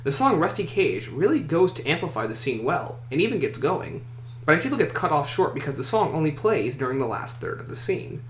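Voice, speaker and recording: A man's voice: fairly high pitch, very animated, fairly quick. A man hosting a countdown list video, reading scripted commentary and opinion. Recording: echoey room, faint noise.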